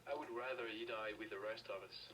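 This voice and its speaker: A man's voice: on the low side, a little fast, a bit bright, lightly acted. An actor playing a defiant, bitter French father in a video game, speaking with a French accent. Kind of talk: video game dialogue acting. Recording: distant, noisy game capture with ambience.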